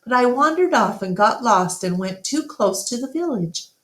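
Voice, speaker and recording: A woman's voice: a little high, animated, normal speed, voice pushed up, natural voice. Woman voicing an eager little girl elephant, echoey. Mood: earnest, excited. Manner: earnest, childlike storytelling. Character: eager, talkative young female baby elephant. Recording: roomy, echoing room sound.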